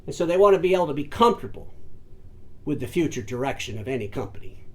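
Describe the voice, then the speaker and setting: A man delivers solo commentary in a fairly high voice, pressing his points hard and speaking straight to his listeners as folks and ladies and gentlemen. He often stumbles into repeated words and restarts before pushing on. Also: very animated, quick pace. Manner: emphatic, addresses audience as folks and ladies and gentlemen. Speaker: host of an industry commentary show.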